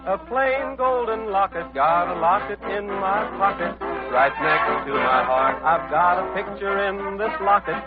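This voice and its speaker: High-voiced man singing a vintage western tune. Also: measured pace. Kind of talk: singing, 1940s western radio song. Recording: muffled vintage radio with loud music.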